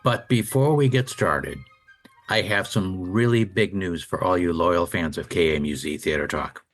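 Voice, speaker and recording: A man's voice: sits low, moderate pace, faint music behind. A man co-hosting a radio show, making announcements and asking questions in a casual, chatty style. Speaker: radio show co-host and interviewer.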